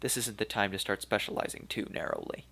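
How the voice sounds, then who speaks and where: A man voicing an elderly, weary archaeologist of Turco-German origin, speaking slowly and regretfully in a gravelly voice. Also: lowish voice, steady tone, bright, forceful tone, exaggerated voice. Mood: resigned, fatherly. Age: older.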